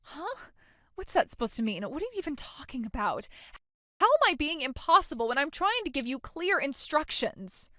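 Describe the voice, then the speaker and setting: A woman's voice: high voice, big pitch swings, moderate pace, fairly bright tone, no echo, studio quality. A woman playing a self-centered young bride, whiny, oblivious and entitled, in a dramatized story. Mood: indignant. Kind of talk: dramatized phone-call story dialogue.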